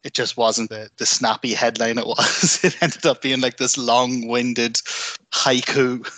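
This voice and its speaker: An Irish man chatting as a guest on a radio show, relaxed and thoughtful, letting his sentences trail off softly. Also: mid-range voice, measured pace, no echo. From Ireland. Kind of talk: casual radio chat.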